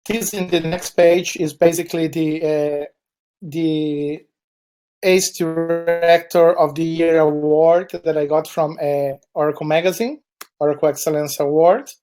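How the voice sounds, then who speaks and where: A man's voice: fairly high pitch, level tone, unhurried, warm tone, faint music behind. A man from Chile with a Brazilian Portuguese accent, Portuguese his first language, giving a webinar presentation in a meandering way with frequent fillers.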